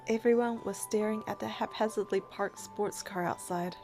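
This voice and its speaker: A woman acts as a young heroine in a story game, practical and skeptical. Her voice is dark and mellow with a dry, matter-of-fact delivery, over faint music. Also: mid-range voice, fairly even intonation, normal speed, natural voice. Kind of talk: English-dubbed game acting with first-person narration.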